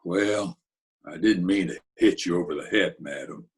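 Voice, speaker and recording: An actor playing a gruff, commanding gangster boss, blunt and bossy, in old-time radio comedy style. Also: male voice, sits low, natural voice. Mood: firm. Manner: blunt, bossy, deliberate slangy talk.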